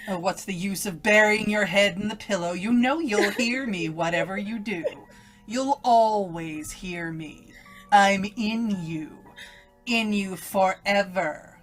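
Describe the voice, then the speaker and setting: Casting: woman to play sinister inner voice, female, unhurried, insistent and cruelly taunting, for radio horror play. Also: medium pitch, soft background music, lightly acted. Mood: commanding, desperate. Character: evil woman's voice inside a young woman's head. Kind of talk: old-time radio horror acting.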